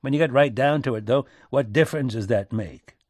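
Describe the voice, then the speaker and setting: Guy doing a young american aide, brisk, dutiful, clipped, dry audiobook recording. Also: mid-range voice, a little mellow, no room sound, natural voice. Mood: bitter. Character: young American presidential secretary, dutiful, a little bitter.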